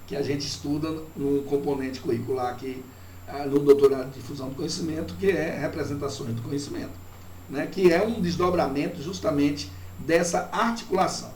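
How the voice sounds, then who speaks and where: A man's voice: fairly high pitch, a little fast, darkish tone. A man from Bahia with a Bahian Brazilian accent giving a didactic, reflective academic lecture, often checking in with short tag questions. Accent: Bahian Brazilian Portuguese. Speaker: university professor. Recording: roomy, distant mic with faint noise.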